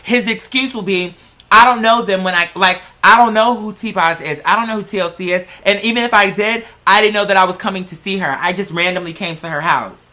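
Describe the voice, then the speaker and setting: A woman with a bright, forceful voice at a middling pitch delivers casual reaction commentary. She is blunt and skeptical, leaning hard on her points and swearing freely as she hosts. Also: brisk. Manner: blunt, skeptical, profane, emphatic. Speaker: host of a reaction video.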